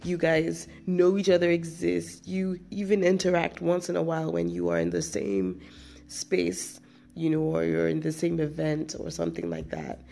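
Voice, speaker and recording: A woman's voice: sits low, lively intonation, moderate pace, creaky, mellow. A woman hosting her own podcast, still getting over a cold with a chesty cough, telling a rambling story in a casual, chatty way with frequent restarts and laughs. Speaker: podcast host.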